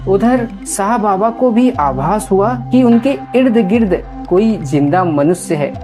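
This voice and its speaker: A woman storyteller reading scripted horror story narration. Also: fairly low pitch. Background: music.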